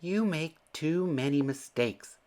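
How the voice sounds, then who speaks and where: A man's voice: a little high, expressive, slow, darkish tone, pitched above the performer's own voice. A man voicing a fussy, decisive female bird, the wife in a children's storybook, speaking emphatically. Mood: bossy, sure.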